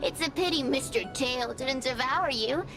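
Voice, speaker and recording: High-pitched, theatrical female fire spirit. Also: moderate pace, loud music behind the voice. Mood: mocking. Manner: theatrical, taunting, storytelling. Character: proud mocking theatrical female fire spirit, longing for freedom. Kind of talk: video game character acting.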